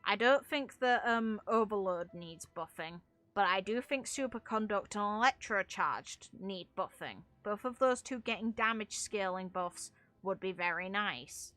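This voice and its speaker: Woman, bright voice, mid pitch, casual game commentary, blunt, opinionated, music in the background. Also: animated, a little slow. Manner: blunt, informal, mild swearing. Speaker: gaming video creator and streamer.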